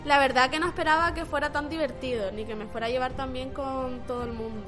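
A woman's voice: high-pitched, fairly even intonation, fast, music in the background. A young woman from Las Palmas, chatting casually in an interview as a camp photographer. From Las Palmas, Canary Islands, Spain. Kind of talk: casual chat.

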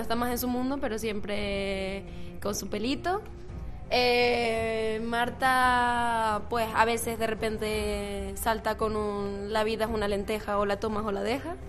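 A young woman speaks casually as an interview guest. Her voice sits fairly high, with some music in the background. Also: fairly bright tone. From Tenerife, Canary Islands, Spain. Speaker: interviewee, camp video team member. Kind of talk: casual chat.

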